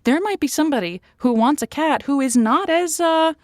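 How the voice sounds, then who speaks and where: A woman's voice: sits high, animated, moderate pace, dry recording, studio quality. A woman playing a chatty, affectionate advice show host who talks in a flirty, familiar way and uses pet names. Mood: playful, encouraging. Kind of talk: improvised comedic call-in chat.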